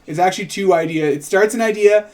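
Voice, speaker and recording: A man's voice: sits high, fast, a little mellow. A man chatting casually as a show host and writer, rambling and interrupting his own thoughts. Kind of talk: casual chat about writing a script.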